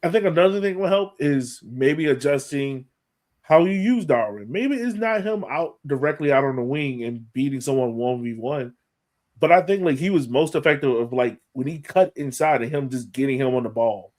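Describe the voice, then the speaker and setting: A man's voice: mid-range voice, a little mellow. An American man co-hosting a sports podcast, analyzing a match in a colloquial, emphatic way and repeating points for stress. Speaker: podcast co-host.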